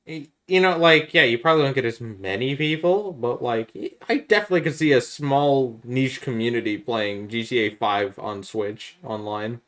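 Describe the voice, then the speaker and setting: A man's voice: medium pitch, moderate pace, few pauses, fairly bright tone. A man talking in a casual gaming podcast style as a co-host, arguing his opinions.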